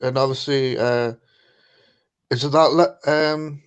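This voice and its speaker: A man joining a casual chat, speaking haltingly with many fillers and restarts. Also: mid-range voice, fairly even intonation, clear, resonant tone. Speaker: guest on stream.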